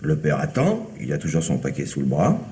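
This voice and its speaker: Flustered young policeman, very deep swooping voice. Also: huge pitch swings, fairly quick, warm tone, slight background noise, full character voice. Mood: earnest reporting. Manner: protesting, stammering under pressure. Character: young, flustered, defensive police officer reporting to his boss. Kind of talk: old French radio mystery drama acting.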